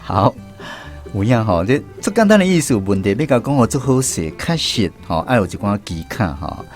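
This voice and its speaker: A man with a Taiwanese accent presents a language lesson on the radio. His voice sits fairly low, and he explains things in measured phrases over soft music. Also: very animated. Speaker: radio show host. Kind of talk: hosting a Taiwanese Hokkien lesson.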